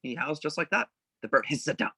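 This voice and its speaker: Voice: mid-range voice, animated, fast, vocal fry, bright, forceful tone, husky. A narrator reading stage directions informally at a casual table read, a little amused.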